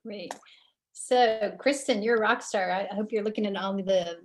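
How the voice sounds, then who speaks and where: A woman's voice: mid-range voice, normal speed. A woman from Indiana moderates a video webinar, praising the speakers with enthusiasm.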